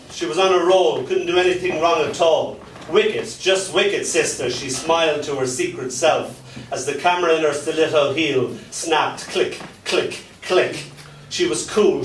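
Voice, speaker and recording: A man performing dark comic poetry and stories live, with a theatrical delivery and dramatic pauses. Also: fairly high pitch. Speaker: spoken word poet. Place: spoken word venue in a London pub. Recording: echoey live venue, distant mic, background noise.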